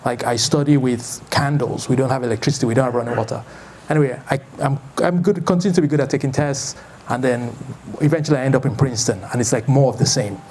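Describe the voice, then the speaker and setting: A man in his thirties with a Nigerian accent, giving an informal, conversational talk as a founder introducing an event, in a loose, halting way. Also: medium pitch, a little mellow, slight background noise. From Lagos, Nigeria. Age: 30s. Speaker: startup founder and event host.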